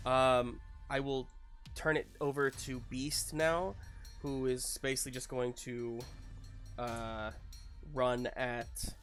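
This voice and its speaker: A man narrating a tabletop roleplaying game as game master, in an animated, casual storytelling manner with slangy asides. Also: mid-range voice.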